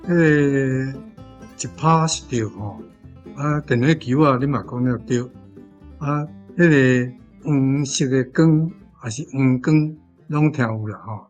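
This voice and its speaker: A man's voice: mid-range voice, slow pace, a little mellow, muffled recording, soft background music. A man with a Taiwanese accent teaching informally, conversational and repeating key phrases as he explains.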